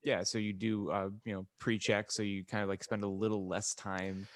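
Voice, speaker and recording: A man's voice: lowish voice, level tone, normal speed, no echo. A man co-hosting a casual podcast, talking in a rambling, conversational way. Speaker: podcast co-host.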